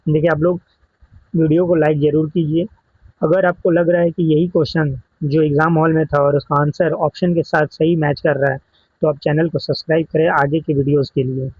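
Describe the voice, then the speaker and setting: A man with an Indian accent and a slightly high, husky voice explains exam questions step by step as a coaching teacher. He slips English technical terms into his explanations. The recording is dry and somewhat dull. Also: level tone, a little fast. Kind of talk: exam question walkthrough lesson.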